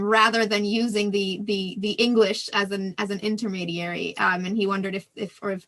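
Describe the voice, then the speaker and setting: A woman's voice: mid-range voice, pushed and bright. A woman co-hosting an online talk, reading out an audience question with hesitant restarts over a video call. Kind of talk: moderating a Q&A.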